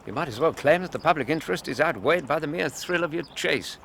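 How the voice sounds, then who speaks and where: An earnest British gentleman companion in a radio play. His mid-pitched voice is formal and proper yet very expressive, with a little background noise. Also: fast talker, vocal fry. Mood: reproving. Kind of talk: audio drama acting.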